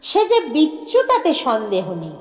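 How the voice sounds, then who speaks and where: High-pitched woman storyteller in heavy reverb. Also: huge pitch swings, a little slow, a little mellow. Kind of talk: scripted short story narration. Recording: echoey room with long reverb.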